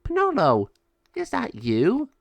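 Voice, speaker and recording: A man's voice: fairly high pitch, animated, normal speed, no room sound, dull audio, voice pushed up, close to an everyday voice. A man voicing a wise, determined good sorceress in a storybook tale, in a raised voice. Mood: earnest.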